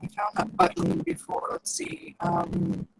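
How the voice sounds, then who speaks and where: A woman's voice: very high-pitched, very animated, a little slow, creaky. A woman nutritionist guest on a podcast, chatty and enthusiastic, heard over a badly garbled connection. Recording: garbled robotic-sounding bad line with noise.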